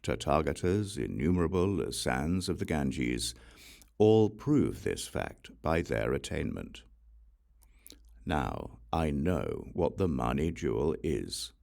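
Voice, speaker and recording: Male audiobook reader, gravelly fry, slow pace, solemn scriptural recitation, deliberate phrasing. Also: a bass voice, sing-song, a little mellow.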